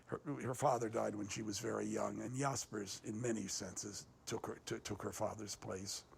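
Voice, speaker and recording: A man in a documentary interview, talking in halting bursts and repeating words, audibly moved. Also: lowish voice, little pitch movement, creaky, a little hiss or hum.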